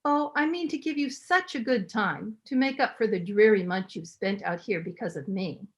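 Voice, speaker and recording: A woman playing a frail, devoted invalid wife, gentle and anxiously pleading, in 1930s radio drama style. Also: mid-range voice, normal speed, close to an everyday voice. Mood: wounded pleading.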